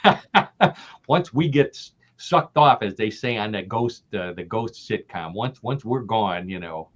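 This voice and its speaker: A man's voice: on the low side, animated, a little slow, fairly bright tone. An older man from the American Midwest, chatting as a podcast guest, laughing often and repeating himself as he talks. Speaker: guest author. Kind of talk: casual podcast interview. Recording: dull lo-fi audio.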